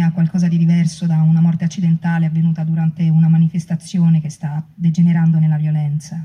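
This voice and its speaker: A woman's voice: lowish voice, mellow, breathy edge, low-quality recording. A woman performer giving a dramatic reading of first-person testimony. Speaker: performer reading book extracts.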